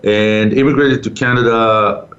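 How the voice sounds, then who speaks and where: A man hosting a conversational radio interview in a halting, informal way. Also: medium pitch, steady tone, fairly bright tone, dull audio. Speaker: talk show host.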